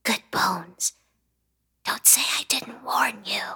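An actress playing an eerie, ominous young doppelganger who speaks in a breathy whisper in a horror comedy radio play. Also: female voice, a little high, huge pitch swings, slow, bright, forceful tone, exaggerated voice. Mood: ominous pleading.

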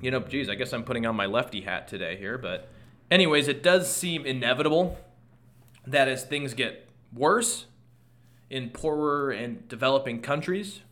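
A man's voice: medium pitch, moderate pace, a bit bright. A man hosting a solo podcast, commenting on world news in a conversational way, thinking aloud with restarts and asides, and losing his voice. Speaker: podcast host.

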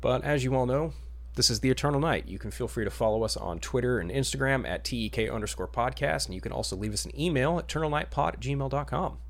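A man's voice: mid-range voice, fast, vocal fry. A man hosting a podcast in a casual, conversational style. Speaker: podcast host. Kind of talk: casual chat about superhero films.

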